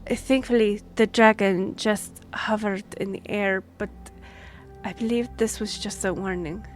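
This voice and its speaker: A woman's voice: medium pitch, fairly even intonation, faint music behind, natural voice. A woman playing a formal, courteous and firm half-elf envoy in a fantasy audio drama. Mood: grave. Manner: formal, measured, diplomatic. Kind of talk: scripted fantasy audio roleplay.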